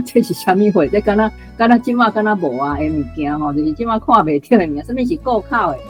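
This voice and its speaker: A woman's voice: medium pitch, lively intonation, fast talker, darkish tone, faint music behind. A woman with a Taiwanese accent giving unscripted explanatory commentary in a conversational way, full of particles and small asides. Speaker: guest commentator.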